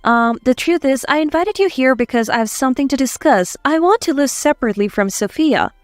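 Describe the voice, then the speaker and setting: A woman voicing a wronged housewife in a story, composed and firm, quietly set on revenge. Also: sits high, lively intonation, brisk, no room sound, soft background music. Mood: tentative. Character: wronged housewife, calm, determined, quietly vengeful.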